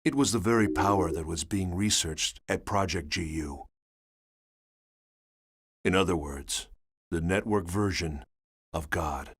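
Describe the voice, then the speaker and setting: Deep, grave male chronicler. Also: measured pace, long pauses, breathy edge. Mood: grave, solemn. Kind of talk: scripted dramatic narration.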